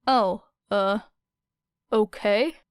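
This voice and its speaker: A woman's voice: mid-range voice, very slow, clear, resonant tone, dry recording, studio quality. A woman voicing a matter-of-fact teenage girl in a plain, practical manner.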